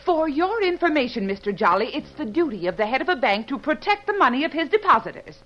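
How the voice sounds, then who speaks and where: An actress playing a haughty, sharp-tongued, nagging banker's wife in an old-time radio western. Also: female voice, sits high, sing-song, fast. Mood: haughty, scolding. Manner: nagging, indignant. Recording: muffled, noisy old radio recording.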